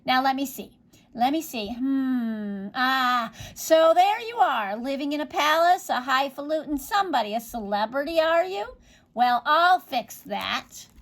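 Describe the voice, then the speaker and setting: A woman voicing an angry little witch who chants spells in a shrill, spiteful way. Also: lively intonation, unhurried. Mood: vengeful.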